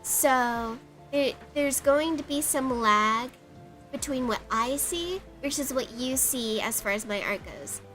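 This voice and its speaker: A woman's voice: high voice, slow, a bit bright. A young woman with a stuffed-up, sniffly nose, chatting giggly and apologetic while streaming her art. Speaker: art livestreamer. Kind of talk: casual live-stream chat. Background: faint background music.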